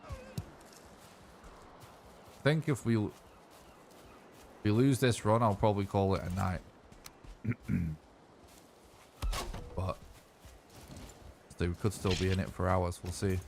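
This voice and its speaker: Deep-voiced man, going hoarse. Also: slow, long pauses, a little hiss or hum. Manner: rambling, relaxed, thinks aloud while playing. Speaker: streamer. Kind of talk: casual live-stream gaming chat.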